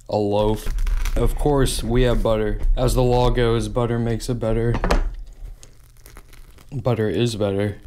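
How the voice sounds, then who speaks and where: A young man hosting a mukbang, chatting casually between bites at a table and speaking into a close mic. He talks in a relaxed way and says "like" often. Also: lowish voice, a little hiss or hum. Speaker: mukbang host. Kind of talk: casual eating chat, mukbang ASMR.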